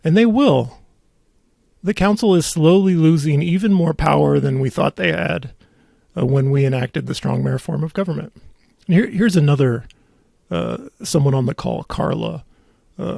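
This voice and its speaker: Creaky-voiced man ranting about local politics. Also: on the high side, normal speed, a little mellow, no room sound, studio quality. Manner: indignant, emphatic repetition, ranting. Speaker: podcast host. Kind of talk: opinionated local politics commentary.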